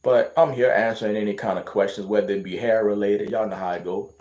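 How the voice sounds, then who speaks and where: A man's voice: on the low side, fairly even intonation, fast, darkish tone, roomy sound. A man from Louisiana with a light Louisiana accent, chatting casually on a livestream and answering viewer questions in a rambling, laid-back way full of fillers.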